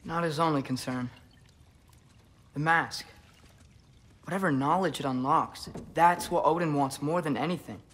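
A man's voice: a little high, unhurried, long pauses, fairly bright tone. A young-sounding man playing an earnest, determined teenage boy in a dramatic video game. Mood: earnest pleading.

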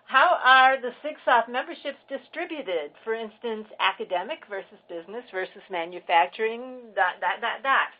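A woman's voice: a little high, animated, moderate pace, few pauses. A woman speaking informally as the moderator of a webinar. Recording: dull, lo-fi webinar audio.

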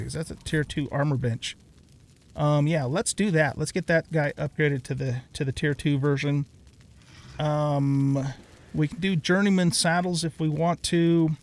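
A middle-aged man giving casual let's play commentary, thinking out loud in a conversational way as he goes. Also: on the high side.

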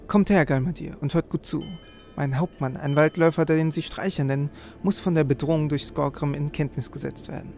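A man with a creaky voice hosting a let's play, commenting on the game and reading quest text aloud. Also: on the high side, steady tone, a little fast, a little mellow. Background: game music.

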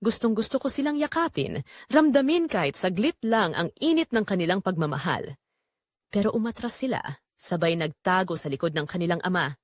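A woman's voice: medium pitch, big pitch swings, fairly quick, dry recording. A woman narrating a scripted romance drama in the first person, with an emotive, sorrowful delivery.

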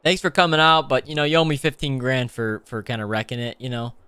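A young man doing casual, excitable roleplay commentary over a video game, animated and slangy with frequent exclamations. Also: medium pitch, fast, clean tone, no room sound. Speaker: gaming video host.

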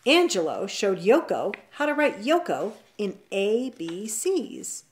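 A woman reading a children's picture book aloud at home, in an expressive, drawn-out storybook manner. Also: mid-range voice. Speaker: storytime reader. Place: indoors at home, seated on a leather couch.

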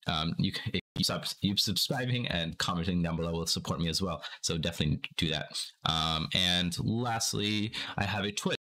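Low-pitched, slightly groggy young man. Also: normal speed, bright, forceful tone. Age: young adult. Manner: informal, step-by-step explaining. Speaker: tutorial host. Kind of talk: casual screen-recorded software tutorial.

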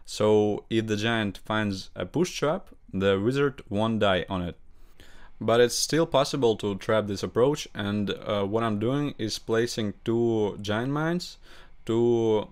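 Russian-accented young man narrating gameplay. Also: on the low side. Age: young adult. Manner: halting non-native English with grammar slips. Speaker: gaming channel host. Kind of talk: voice-over gameplay commentary.

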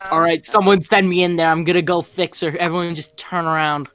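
A woman's voice: on the low side, fairly even intonation, fairly quick, a crowd in the background, lightly acted. A woman playing a put-upon animatronic repairer in an improvised game roleplay, casual and hesitant, often repeating herself. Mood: resigned.

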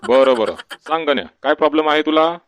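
A man's voice: a little high, animated, a little fast, clean tone, lightly acted. A man playing a village doctor in a comic cartoon, coaxing and colloquial. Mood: calm, coaxing. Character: male village doctor, patient but easily exasperated.

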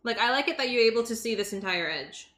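Young woman streamer, bright slightly high voice, chatty, home kitchen, counter mic. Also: lively intonation, quick pace. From Los Angeles. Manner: chatty, answers chat aloud while chopping food. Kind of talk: casual livestream chat while cooking. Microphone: desk microphone on the counter.

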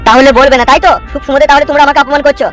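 A man's voice: extremely high pitch, flat delivery, rapid-fire. A man voicing a bewildered, plaintive young boyfriend in a comedy cartoon, in an exaggerated way over music. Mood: pleading, confused. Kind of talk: melodramatic comedy cartoon dubbing. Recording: music under the voice.

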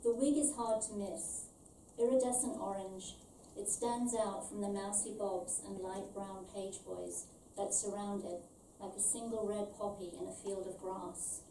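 A middle-aged woman from London, born in Ireland, reading her own short story aloud in a measured, deliberate way. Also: medium pitch, steady tone, warm tone. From born in Ireland, raised in London. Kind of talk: scripted literary reading aloud. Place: small event venue with stage, indoors. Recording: roomy, distant, faint background noise.

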